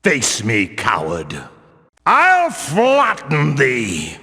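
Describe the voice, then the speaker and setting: An actor playing a stern, zealous religious warrior guard in a fantasy video game, speaking in pious, archaic, declamatory phrases. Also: male voice, medium pitch, wildly swooping pitch, drawn-out words, sharp tone, heavily acted. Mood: battle fury. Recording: long reverberant hall echo.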